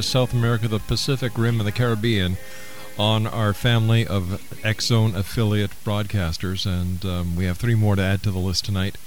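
A man hosting a live radio talk show, reading announcements in a somewhat halting way with pauses. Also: fairly low pitch. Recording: hissy, noisy radio broadcast recording.

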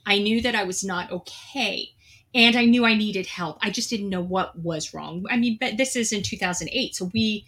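A woman's voice: mid-range voice, normal speed, fairly bright tone. A middle-aged woman telling her own story in an earnest, reflective way, with a few light laughs. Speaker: guest, veteran. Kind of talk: candid personal storytelling.

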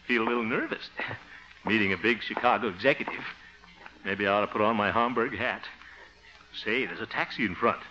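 An actor playing a good-natured, flustered middle-aged bachelor in a 1950 radio comedy, chuckling, stammering and muttering asides. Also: male voice, lowish voice, moderate pace, pauses often, bright, forceful tone, soft background music. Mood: nervous, eager. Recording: muffled old radio recording.

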